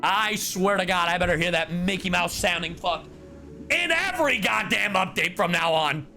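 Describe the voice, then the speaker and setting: A man giving casual game reaction commentary, brash and expressive, with lots of swearing and slang. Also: high-pitched, normal speed, creaky. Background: game music.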